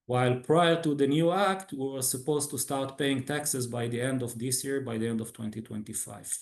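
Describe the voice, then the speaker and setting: A man with a mid-pitched voice delivers prepared financial results in a roomy, echoing space. He is a non-native English speaker with a formal executive manner. His reading is broken up by halting pauses. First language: not English. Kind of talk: scripted earnings call presentation.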